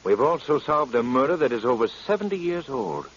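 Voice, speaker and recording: A man plays an urgent, confident gentleman sleuth in a 1940s radio mystery. His mid-pitched voice moves in lively swoops, with clipped, theatrical diction. The old recording sounds dull. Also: a little fast, slight background noise, lightly acted. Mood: explaining. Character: urgent, assured gentleman detective. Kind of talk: 1940s radio drama acting.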